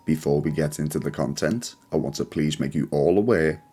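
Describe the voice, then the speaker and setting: A man hosting a channel and reading scripted ghost stories in a measured, solemn way. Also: a bass voice, warm tone, husky, faint music behind. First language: not Polish; speaks English. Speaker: channel host and narrator. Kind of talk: scripted ghost story narration.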